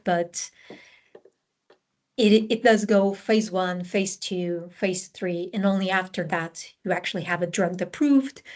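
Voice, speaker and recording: A woman from Portugal with a Portuguese accent, a scientist guest explaining her work and career in a relaxed interview, with frequent fillers and restarts. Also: mid-range voice. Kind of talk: conversational interview answers.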